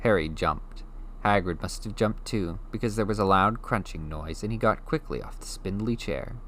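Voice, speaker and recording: A man reads a storybook aloud in a calm, steady, measured way. His voice sits on the low side. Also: slight background noise. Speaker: audiobook reader. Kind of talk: storybook narration.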